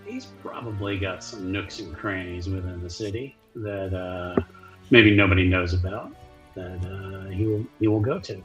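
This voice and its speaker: A man's voice: low voice, steady tone, normal speed. Muffled lo-fi man over music. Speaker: player and stream host. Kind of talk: casual livestream talk. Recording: dull lo-fi sound, music behind. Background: background music.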